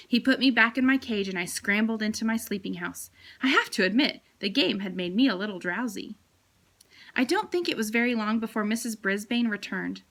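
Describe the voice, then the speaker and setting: A woman reading a children's chapter book aloud as a teacher, with expressive storytelling. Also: mid-range voice.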